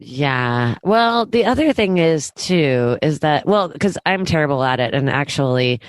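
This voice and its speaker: A woman, stuffy and nasal from a lingering cold. She talks in a relaxed way, hands out advice and laughs easily. The sound is dry and close-miked. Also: low-pitched, a little slow, no echo. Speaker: podcast co-host. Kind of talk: casual chat.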